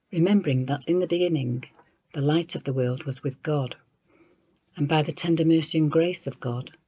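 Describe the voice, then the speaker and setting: A man giving a scripted devotional reading as liturgist, in a solemn, measured way with pauses. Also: medium pitch, darkish tone, husky, no room sound. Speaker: reader of a liturgy.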